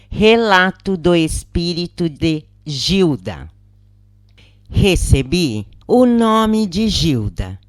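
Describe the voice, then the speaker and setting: A woman with a Brazilian accent reading a first-person account as a measured, expressive audiobook narrator. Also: on the low side, no echo, clean studio sound.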